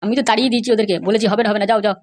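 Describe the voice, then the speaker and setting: A woman's voice: medium pitch, steady tone, racing, darkish tone, natural voice. A woman playing an evasive, scheming male schoolmaster who placates and repeats himself in a comedy cartoon. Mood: placating, evasive. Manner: placating, repetitive.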